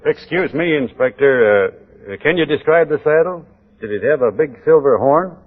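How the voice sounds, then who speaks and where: An actor playing a courtly, stubborn Texas Ranger with a folksy, hesitant Texan way of talking, in 1940s radio drama style. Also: male voice, fairly high pitch, animated, normal speed, natural voice. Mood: businesslike. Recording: noisy, tinny 1940s radio recording.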